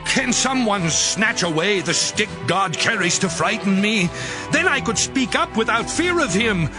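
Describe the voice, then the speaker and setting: A suffering, despairing man crying out to God. His voice sits high and swings widely as he slowly laments, impassioned and bitter, over clearly audible music in an audio scripture drama. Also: animated.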